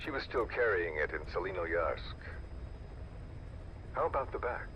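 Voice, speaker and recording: A man's voice: low-pitched, sing-song, a little slow, lo-fi sound, a little hiss or hum. A man playing a composed, knowing intelligence operative in a video game, speaking in terse, probing phrases. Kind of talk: dramatic video game voice acting.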